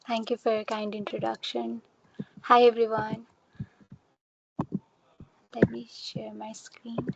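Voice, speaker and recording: Young woman from Nepal, online presentation, monotone, dry close sound, step-by-step explaining. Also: a little high, takes it slowly, vocal fry, pauses often, breathy edge, no echo, highs cut off. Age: young adult. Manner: explains step by step with examples and fillers. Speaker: student researcher giving a talk. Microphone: computer mic on a video call.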